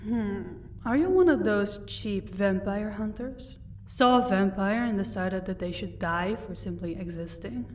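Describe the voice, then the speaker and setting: A woman's voice: medium pitch, a little slow, recorded from a distance, a little hiss or hum, lightly acted. A woman playing a proud, sardonic vampire captor in an audio roleplay, commanding and dryly sarcastic. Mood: menacing interrogation.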